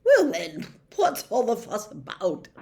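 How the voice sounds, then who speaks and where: A woman's voice: fairly high pitch, wildly swooping pitch, a little slow, mellow, voice pushed up, exaggerated voice. A woman voicing a jovial, blustering middle-aged male government minister in a storybook reading, effusive and given to hemming. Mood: hearty. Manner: effusive, hesitant, hemming and throat-clearing. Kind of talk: audiobook character reading.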